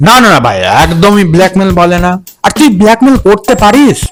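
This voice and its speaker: A man's voice: high-pitched, expressive, fast. A man delivering a mocking meme-review roast, full of crude slang and sarcastic teasing. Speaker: roast video host. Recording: music bed under voice.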